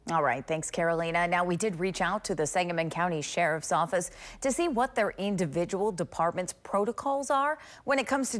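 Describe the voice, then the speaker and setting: Low-pitched woman anchoring the news. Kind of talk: scripted broadcast news reading. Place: TV news studio.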